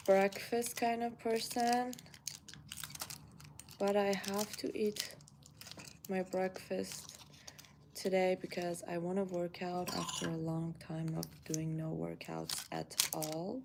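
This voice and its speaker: A woman's voice: medium pitch, fairly even intonation, slow, vocal fry, pauses often, background noise. A young woman from Iran with a Persian accent, chatting casually to viewers while having breakfast at home. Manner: chatty, thinks aloud while eating. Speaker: vlogger. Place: home kitchen, indoors.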